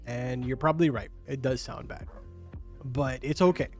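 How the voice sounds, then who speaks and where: A man's voice: medium pitch, steady tone, moderate pace, a little mellow. A young man whose first language is Spanish, chatting casually with his live-stream viewers, answering their questions with lots of filler words. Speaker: game streamer. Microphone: studio microphone. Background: faint game music.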